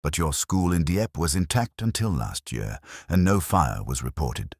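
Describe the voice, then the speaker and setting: A man voicing a shrewd, grave detective in an audiobook, speaking deliberately and pressing his point. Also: rumbling low, animated, slightly rough, no echo, clean studio sound, full character voice. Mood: grave, probing. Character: shrewd, grave, probing male Victorian detective. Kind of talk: audiobook character dialogue.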